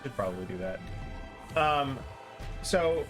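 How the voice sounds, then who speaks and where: A man with a medium-pitched voice talks through a game as he streams it. He is informal, rambling and self-deprecating. Music plays faintly behind him. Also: expressive, takes it slowly, fairly bright tone. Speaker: streamer playing and explaining a game. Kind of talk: casual live game commentary.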